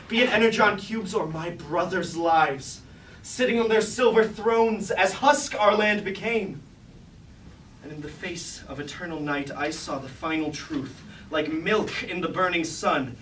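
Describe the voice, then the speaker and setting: A man's voice: a little high, a bit bright. A man playing a proud, bitter rebel robot warrior in a staged tragedy, declaiming grandly in an echoey room. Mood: brooding. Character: proud bitter rebel robot warrior, ambitious traitor turned self-crowned king. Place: indoor room at a live staged reading. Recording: echoey room from far off, noisy.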